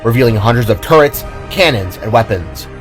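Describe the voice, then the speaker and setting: A man's voice: mid-range voice, lively intonation, fairly quick, soft background music. A man narrating a scripted audio drama in a dramatic storytelling manner.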